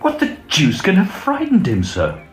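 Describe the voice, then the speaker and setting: A man playing a brisk, blunt, level-headed young medical student in an audio drama. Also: high voice, wildly swooping pitch, faint music behind. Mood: puzzled, curious. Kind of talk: acted audio drama dialogue.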